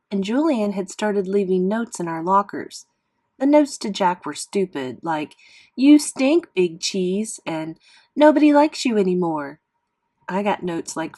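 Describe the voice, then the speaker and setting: A woman reads a children's novel aloud in expressive classroom read-aloud narration, like a teacher with a class. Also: fairly low pitch.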